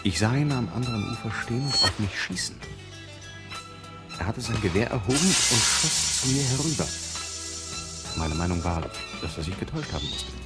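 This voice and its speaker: A man playing an unflappable adventurer who narrates absurd exploits in a measured deadpan, in a scripted experimental radio play. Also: lowish voice, natural voice. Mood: detached, narrating. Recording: music behind the voice.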